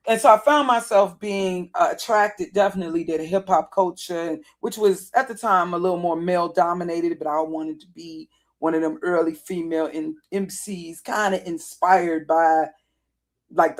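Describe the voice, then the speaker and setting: A woman in her fifties with a deep contralto voice that sounds worn and raspy, telling personal stories to camera in a rambling, digressive way. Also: darkish tone. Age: 50s. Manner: rambling and digressive, with frequent self-corrections. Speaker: vlogger. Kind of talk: casual personal storytelling to camera.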